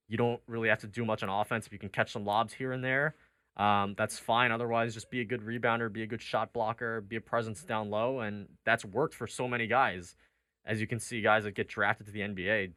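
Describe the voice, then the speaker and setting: Bright-voiced man debating sports, dry sound. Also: lowish voice, steady tone, moderate pace, no room sound. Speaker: sports radio talk show host. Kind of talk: sports talk debate about basketball.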